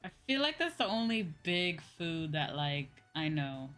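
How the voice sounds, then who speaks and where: A woman hosting a casual livestream, chatting with viewers in a drawn-out, exclamatory way and often repeating her phrases. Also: sits low, very animated, fairly bright tone, faint music behind. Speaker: livestream host.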